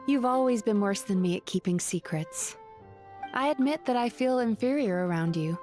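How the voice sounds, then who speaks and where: An actress voicing a composed, earnest young businesswoman in a video game, speaking in a calm, measured, sincere way. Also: mid-range voice, close to an everyday voice.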